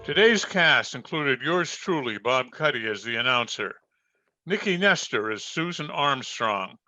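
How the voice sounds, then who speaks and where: A man's voice: mid-range voice, animated, a little slow, pushed and bright, faint music behind, rough recording. A man announcing scripted credits in the style of old-time radio.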